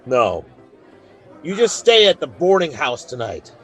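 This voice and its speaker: An actor playing a plainspoken, fair-minded frontier marshal with authority in a radio western. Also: male voice, fairly high pitch, huge pitch swings, measured pace, a bit bright, husky, some chatter behind, heavily acted. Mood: stern, measured. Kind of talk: old-time radio western acting.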